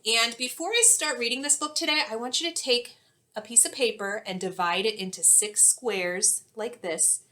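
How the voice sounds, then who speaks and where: A woman in her thirties, an elementary teacher reading a storybook aloud and giving instructions in a clear, expressive way for young children. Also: on the high side, moderate pace. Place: small indoor classroom-like room.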